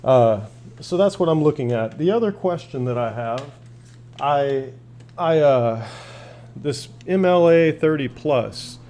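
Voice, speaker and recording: Casting: male hobbyist, warm soft-edged voice, unscripted, folksy, plain-spoken, happy to ramble while demonstrating equipment. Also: fairly high pitch, expressive, slow. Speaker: shortwave radio hobbyist asking viewers for advice. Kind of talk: casual unscripted demonstration and chat.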